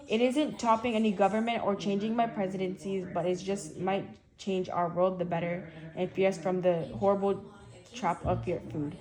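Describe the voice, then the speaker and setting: A teenage girl with a mid-pitched young female voice. She speaks haltingly and hesitantly, stumbling over the odd word as she reads aloud or answers. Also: dark tone, poor audio. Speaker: guest reading an article aloud and answering questions. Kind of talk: halting read-aloud and shy interview answers.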